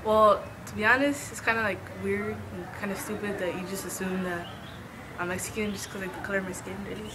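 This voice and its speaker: A woman's voice: mid-range voice, fairly even intonation, brisk. Blunt young woman, noisy background. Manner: blunt, frank, a bit indignant. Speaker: interviewee. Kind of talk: casual interview answers.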